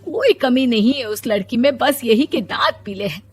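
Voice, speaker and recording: A woman's voice: a little high, animated, normal speed, breathy edge, soft background music. A woman playing a worried, embarrassed mother in a story, complaining and lamenting about her daughter. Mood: troubled lament. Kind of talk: Hindi moral story character voice acting.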